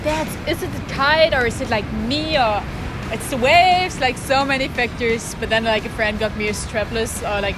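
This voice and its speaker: A woman in her twenties with a German accent, telling stories casually in an interview. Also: on the high side. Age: 20s. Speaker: interviewee, kitesurfer.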